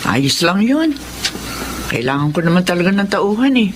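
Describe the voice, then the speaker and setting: Brief: a gossipy, scolding middle-aged store owner, old hissy radio tape. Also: female voice, on the low side, sing-song, moderate pace. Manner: chiding, gossipy. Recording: noisy old radio recording.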